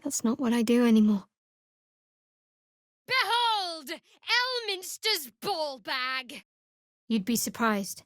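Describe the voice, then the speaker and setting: An actress playing a guarded, sardonic young woman cleric devoted to a dark goddess in a video game, with dry, wry, measured delivery. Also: high-pitched, very animated, no echo, exaggerated voice. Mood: forceful, urgent. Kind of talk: video game character voice lines.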